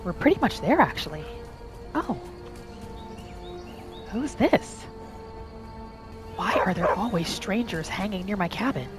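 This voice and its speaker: Gently musing woman over music. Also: mid-range voice, big pitch swings, unhurried, pauses often. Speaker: roleplay gaming host. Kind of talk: in-character storytelling game narration. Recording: music behind the voice. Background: background music.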